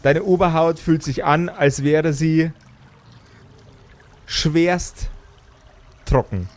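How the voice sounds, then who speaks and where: A man narrating an improvised fantasy tabletop game as game master, with deliberate, theatrical phrasing and pauses, over faint noise. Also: fairly high pitch, fairly even intonation.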